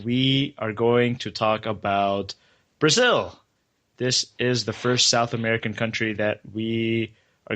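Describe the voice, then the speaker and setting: A man's voice: medium pitch, fairly bright tone. A man hosting a podcast, upbeat and casual, with a slangy greeting and easy questions. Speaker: podcast host.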